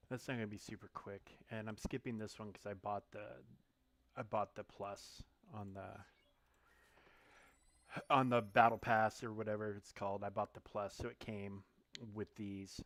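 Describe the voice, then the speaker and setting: Dude with a gravelly voice talking to himself while he plays, mouse clicks in the back. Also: lowish voice, normal speed, no echo. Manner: relaxed, mutters to himself, thinks aloud. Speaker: gaming streamer. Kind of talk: casual live-stream commentary while playing.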